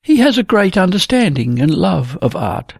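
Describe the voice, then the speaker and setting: Tender young woman artist, soothing and earnest, voiced by the book's narrator. Rough-edged, dry sound. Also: mid-range voice, very animated, normal speed, darkish tone, no room sound, voice pushed up, full character voice.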